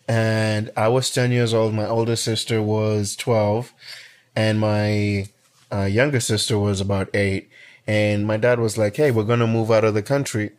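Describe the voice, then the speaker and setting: A man's voice: lowish voice, a little mellow. A man in his twenties from Kenya, telling a personal story in casual conversation with many restarts. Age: 20s. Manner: storytelling, frequent restarts and fillers.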